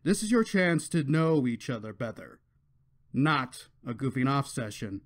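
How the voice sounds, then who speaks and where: A man's voice: medium pitch, very animated, unhurried. Man acting a stern, commanding unicorn king. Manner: curt, authoritative. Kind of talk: animated fan series voice acting. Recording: music behind the voice.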